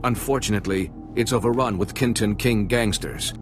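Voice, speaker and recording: An actor playing a formal, earnest and worried ambassador in a video game. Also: male voice, lowish voice, normal speed, over music, lightly acted. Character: troubled, formal male ambassador. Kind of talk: video game character dialogue.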